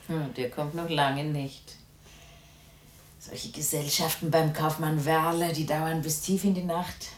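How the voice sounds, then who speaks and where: Plain homely mum, quite deep voice, bit of scolding, echoey hissy 1950s radio play. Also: female voice, flat delivery, a little slow. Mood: admonishing. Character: plain-spoken, concerned working-class mother.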